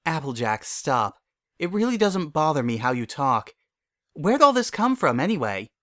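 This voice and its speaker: A man's voice: on the high side, sing-song, normal speed, bright, forceful tone, no echo, clean studio sound, pitched above the performer's own voice. An earnest, worrying young mare of a scholar pony , acted out by a male reader, speaks in a raised voice with careful diction. She sounds bookish and fretful, like a character in a storybook reading. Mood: gently insistent. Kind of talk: dramatic fan fiction reading.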